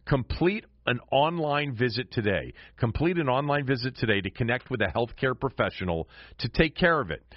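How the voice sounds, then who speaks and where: An American man hosting a sports podcast in a conversational way, often repeating words as he goes. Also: mid-range voice. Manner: halting, repeats words while forming questions. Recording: slightly dull, roomy sound.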